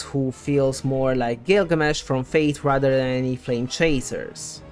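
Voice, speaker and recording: A man with a somewhat high-pitched voice delivers scripted game theory commentary. He explains things in a conversational way, like a video essay host. Faint music plays behind his voice. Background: background music.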